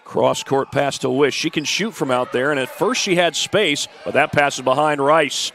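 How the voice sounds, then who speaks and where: A congested man with the flu giving steady play-by-play of a high school girls' basketball game, adding stats and schedule notes. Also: normal speed, slight background noise. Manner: steady, informative play-by-play with stat and schedule asides. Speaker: play-by-play announcer. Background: faint gym ambience, ball bouncing.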